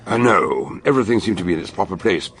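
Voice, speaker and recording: Casting: eccentric British sleuth doctor, theatrical radio-drama delivery, gravelly, swooping intonation. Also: male voice, low voice, expressive, fast talker. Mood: genial, inquisitive. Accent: British English. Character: eccentric, brilliant male detective doctor, genial and excitable. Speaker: actor playing the detective and narrator.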